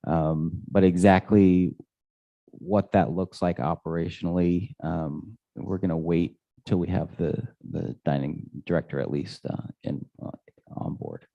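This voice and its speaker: A man's voice: low-pitched, steady tone, measured pace, vocal fry, no room sound. A man answering residents' questions at a community meeting, hesitant and full of fillers and restarts. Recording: dull, dry lo-fi audio.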